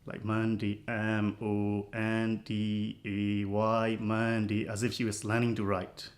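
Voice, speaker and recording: A low-voiced man, answering interview questions about his life as a poet. He speaks in a halting, reflective way, pausing often and restarting his sentences as he searches for words. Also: completely flat delivery. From Myanmar. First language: Burmese. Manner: halting, thoughtful, many pauses and restarts.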